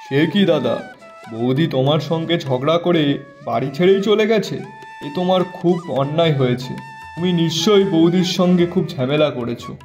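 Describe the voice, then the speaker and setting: A man's voice: on the high side, expressive, fairly quick, a little mellow, soft background music, natural voice. A man playing a nosy, kindly younger farmhand in a cartoon, with an inquisitive, exclamatory way of talking. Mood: nosy, scolding. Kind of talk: animated moral story dialogue.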